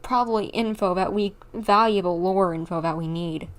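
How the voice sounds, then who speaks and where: A woman's voice: mid-range voice, normal speed. A woman livestreaming a game, giving casual commentary and thinking aloud informally as she plays.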